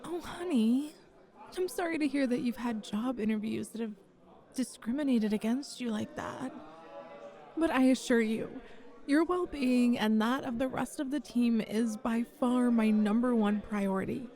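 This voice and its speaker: A woman playing a kind, flirty female bar manager in an ASMR roleplay, gently reassuring and encouraging a nervous job applicant. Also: fairly high pitch, a little slow. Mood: tender, soothing. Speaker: voice actor in an audio roleplay. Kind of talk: ASMR roleplay, one-sided conversation.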